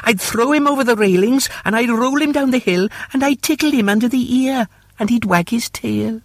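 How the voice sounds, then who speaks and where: An excitable little boy from a storybook, very high in pitch. He sounds playful and boastful, recorded close and dry. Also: moderate pace, dry recording. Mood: excited. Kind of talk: storybook character voice.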